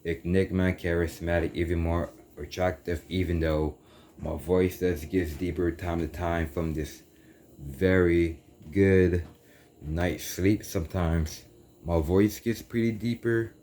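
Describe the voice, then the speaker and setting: A young man with a very low, dark and mellow voice drifts through a loose spiritual talk, tossing in casual slang and backtracking on his words. A faint noise sits under the recording. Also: fairly even intonation, slow. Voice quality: deep voice. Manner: meandering, self-correcting, casual slang like "dude". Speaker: YouTuber. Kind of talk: rambling spiritual monologue.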